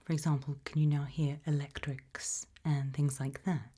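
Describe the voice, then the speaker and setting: Breathy, low-voiced British woman whispering ASMR. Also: little pitch movement. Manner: gentle, measured questioning. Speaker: ASMR roleplayer as an abduction investigator. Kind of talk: ASMR interview roleplay, mostly whispered.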